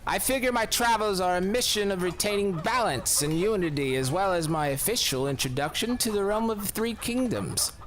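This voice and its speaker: A man's voice: expressive, normal speed, few pauses, fairly bright tone, slight background noise, low-quality recording. An actor playing a vain, courtly young lord in his thirties, florid and theatrical, turning bitter and brooding. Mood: flattering. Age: 30s. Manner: florid, swooping and courtly, sinking low and brooding when upset. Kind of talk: audio drama acting. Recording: music bed under the voice.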